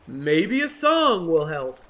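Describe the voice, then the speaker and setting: A woman's voice: deep voice, sing-song, slow, fairly bright tone, full character voice. A woman voicing a cheery, encouraging marabou stork in a children's storybook with a singsong manner. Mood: cheerful, encouraging.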